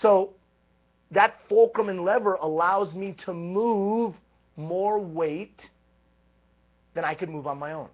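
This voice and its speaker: A man giving a live leadership coaching talk, energetic and emphatic, stacking repeated phrases. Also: high voice, slow, pauses often. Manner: energetic, emphatic, repetitive build-ups. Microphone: live stream microphone. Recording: tinny phone-like live stream.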